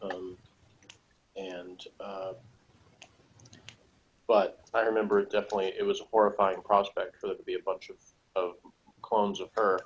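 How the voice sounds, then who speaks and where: A man's voice: low voice, flat delivery, moderate pace, long pauses. A man co-hosting a review in casual chat, with a hesitant, rambling way of talking. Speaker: review show co-host. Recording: dull, lo-fi sound.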